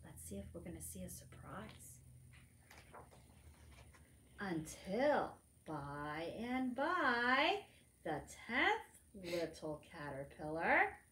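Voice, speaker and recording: Slow, lively middle-aged woman reading aloud. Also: mid-range voice, slight background noise. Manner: teacherly, expressive, simple questions to children. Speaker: librarian storytime reader. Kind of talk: picture-book read-aloud for preschoolers with simple asides. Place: library room among bookshelves, indoors. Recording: roomy indoor echo.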